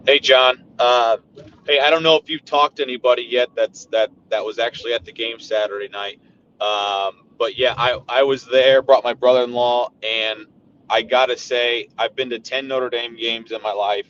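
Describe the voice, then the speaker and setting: A hoarse man calls in and talks in an eager, chatty way, his voice bright at the edges. The line sounds muffled and a little lo-fi, with a faint rumble behind it. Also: fairly even intonation, moderate pace. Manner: enthusiastic, chatty. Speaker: caller.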